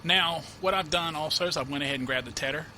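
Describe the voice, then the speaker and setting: A man's voice: on the high side, fast talker, noisy background. Man with a cold narrating a farming game. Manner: rambling, self-correcting, many uh fillers. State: has a cold, sniffling. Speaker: gameplay tutorial host. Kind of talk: casual let's-play commentary.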